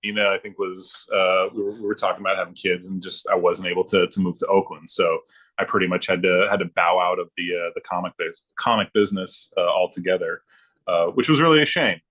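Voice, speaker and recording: A middle-aged man with a deep voice talks casually as a guest. He reminisces in an informal, halting way, often feeling for the next word as he thinks aloud. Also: steady tone, fairly bright tone. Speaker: guest, former comics production worker turned librarian.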